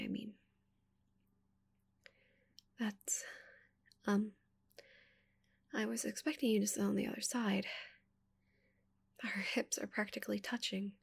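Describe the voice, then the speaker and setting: A woman plays a touch-starved, curious giant alien woman in an intimate ASMR roleplay. Her voice is soft and whispery, breathy with a light crackle of fry. She speaks hesitantly and awkwardly, tripping over her words in a flustered way. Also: mid-range voice.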